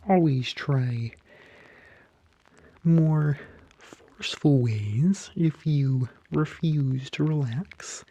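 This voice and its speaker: An eerie, courteous yet menacing barber creature, acted by a man, murmurs in a very dark, soft tone. The words come extremely slowly, with halting pauses and a breathy half-whisper, as in an ASMR roleplay. The close recording sounds slightly muffled. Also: a little high, no echo, heavily acted. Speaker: ASMR performer.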